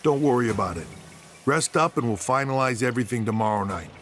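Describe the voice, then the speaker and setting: A man's voice: medium pitch, lively intonation, quick pace, slight background noise, natural voice. A man playing a stern, authoritative American wrestling executive in a video game, blunt in delivery. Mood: reassuring. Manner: blunt, scolding. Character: stern, authoritative male wrestling company boss. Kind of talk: video game cutscene acting.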